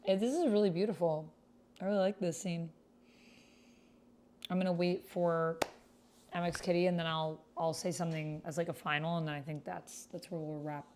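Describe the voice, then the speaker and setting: A woman's voice: lowish voice, fairly even intonation, moderate pace, pauses often, a little mellow. A woman streamer chatting casually and reading out her typed roleplay in a gushing, sentimental manner. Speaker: streamer playing a text-based roleplaying game. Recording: clear background noise, keyboard typing. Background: computer keyboard typing.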